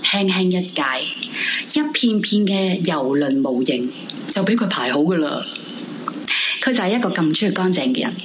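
An actress playing an introverted, brooding young woman in a radio drama, confiding in Hong Kong Cantonese with dramatic pauses. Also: fairly low pitch, lively intonation, noisy background, lightly acted. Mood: confiding, fond. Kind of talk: radio drama monologue in first person. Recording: music bed under the voice. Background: dramatic background music.

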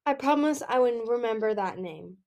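A woman's voice: a little high, lively intonation, normal speed, darkish tone. A woman voicing an earnest, dutiful young male dragon prince in a storybook, formal and a little awkward as he speaks.

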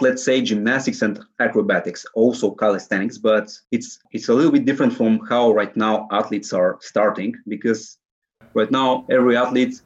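A man's voice: mid-range voice, dull audio. A man in his early twenties with a Bulgarian accent, giving casual answers as an interview guest. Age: early 20s. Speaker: interview guest, calisthenics athlete.

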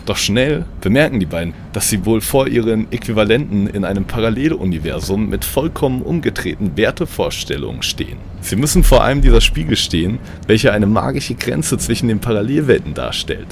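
A man's voice: on the low side, very animated, brisk, gravelly, few pauses, slight background noise. A man narrating a comic audio play as host, with a playful, mock-dramatic storytelling manner.

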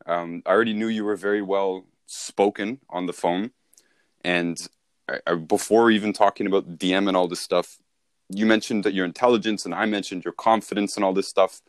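A man's voice: low-pitched, steady tone, a little fast, no echo, clean studio sound. A man speaking as a sales mentor in an informal training talk, with an instructive, conversational and emphatic way of explaining.

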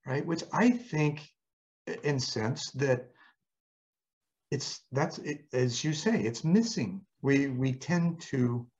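A man's voice: medium pitch, animated, a little mellow. Dull-sounding lo-fi male interviewer. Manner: halting, often tags phrases with "right?". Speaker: interviewer, host. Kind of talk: reflective casual conversation.

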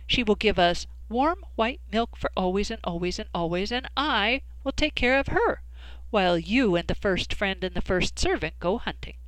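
A woman's voice: medium pitch, animated, normal speed, a bit bright, dry recording, close to an everyday voice, low-quality recording. Woman playing a clever, teasing cave woman.

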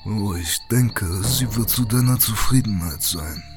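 Man putting on a deep, brooding old necromancer. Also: noisy background, close to an everyday voice. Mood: grave, measured. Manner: slow, deliberate, grave. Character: old, brooding, calculating male necromancer mage. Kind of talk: audiobook character voice.

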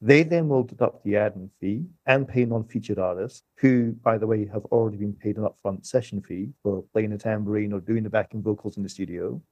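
A man's voice: lowish voice, brisk, hardly any pauses, darkish tone, dry recording, lo-fi sound. A man speaking as an economist guest on a podcast, explaining things step by step with emphasis.

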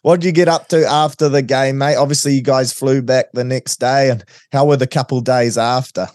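A man's voice: mid-range voice, fairly even intonation, a little fast, darkish tone, no echo, clean studio sound. A man with a New Zealand accent hosting a sports podcast, talking in a chatty, matey way. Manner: chatty, matey, calls the guest mate. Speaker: podcast host. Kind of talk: casual interview chat.

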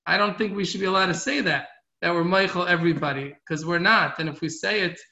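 This is a middle-aged man with a high-pitched, forceful voice, teaching an informal religious class. He speaks earnestly and haltingly, often repeating a phrase before finishing the thought. The recording is echoey and somewhat muffled. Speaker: rabbi giving a class. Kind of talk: informal religious lecture with question and answer.

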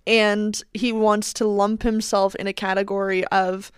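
Woman podcast cohost, blunt and chatty, smooth clear voice, dry sound. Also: mid-range voice, flat delivery, unhurried, no room sound. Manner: blunt, chatty, swears freely. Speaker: podcast co-host. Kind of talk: casual chat.

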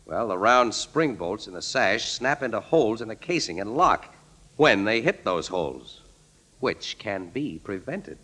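An actor playing a clever, charming young crime photographer, breezy and confident, in 1940s radio style. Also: male voice, on the low side, sing-song, measured pace, pushed and bright, breathy edge, full character voice. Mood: keen, explaining.

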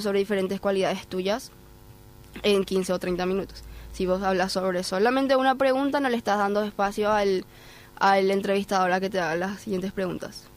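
Paraguayan woman giving conversational advice. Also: medium pitch. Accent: Paraguayan Spanish. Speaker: guest, programme coordinator. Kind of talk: conversational advice in a podcast interview.

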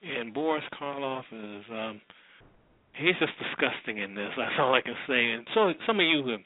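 A man's voice: medium pitch, lively intonation, moderate pace, no room sound. Casual male radio host, dull lo-fi sound. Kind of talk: casual unscripted show introduction. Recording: dull, muffled, lo-fi, dry sound.

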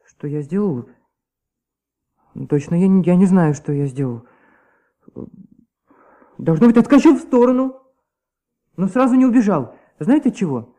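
Radio drama: earnest choirboy witness, high-pitched, mellow, stammering and unsure. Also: male voice, expressive, moderate pace, long pauses. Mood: anxious, earnest. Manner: hesitant, stammering, repeating himself. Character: nervous young choirboy giving testimony, hesitant and earnest. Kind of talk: Soviet radio play acting.

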